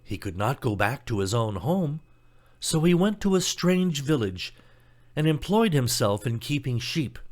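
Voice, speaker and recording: A man reading a scripted fairy tale as a storybook narrator, telling it expressively. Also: fairly low pitch.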